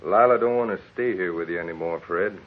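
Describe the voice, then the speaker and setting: An actor playing a brazen, goading American desert gunman with rough rustic talk in a radio drama. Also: low voice, fairly quick, phone-quality sound. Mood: cocky, goading. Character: brazen, goading Western desert rival with a gun. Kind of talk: 1940s radio drama acting. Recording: thin, faintly crackly old radio recording.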